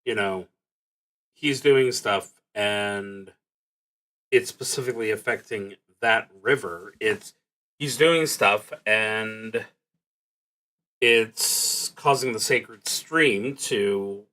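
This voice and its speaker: A man hosting a solo roleplaying stream, musing to himself in a halting, deliberate way as he plays. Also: fairly low pitch, pushed and bright, low-quality recording. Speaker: host of a solo roleplaying livestream. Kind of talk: thinking aloud while playing a solo tabletop game.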